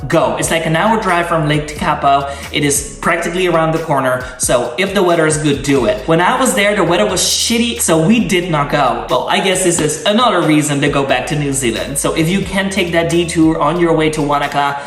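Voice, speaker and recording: A man's voice: a little high, brisk, hardly any pauses, fairly bright tone, soft background music. A young man with a Dutch accent, a native Dutch speaker, giving casual travel tips in an enthusiastic, gushing way, full of fillers and restarts. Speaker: travel vlogger. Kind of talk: casual travel-guide talk. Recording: roomy sound, mic at a distance.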